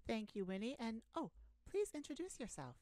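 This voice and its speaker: A woman playing an earnest, easily flustered space ambassador, halting and awkward yet formal, in an ASMR sci-fi roleplay. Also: on the high side, very animated, mellow, no echo, clean studio sound. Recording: noticeable background noise.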